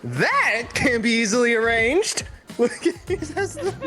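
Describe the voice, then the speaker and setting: A woman's voice: on the high side, very animated, a little slow. A woman in casual game chat, reading dialogue aloud in a playful, theatrical way. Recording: game music behind the voice. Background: video game music.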